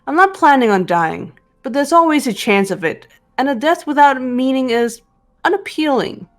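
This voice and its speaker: A woman voicing a cool, determined teenage detective girl in a visual novel game, measured and reserved. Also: high voice, expressive. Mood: quiet resolve.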